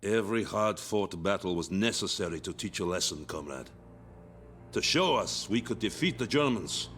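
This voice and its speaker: A man's voice: fairly low pitch, animated, moderate pace, fairly bright tone, faint music behind. An actor playing a stern male Soviet commissar who coldly defends sending soldiers to die, in a war game cutscene. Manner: cold, dogmatic, defends sacrifice. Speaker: interrogator.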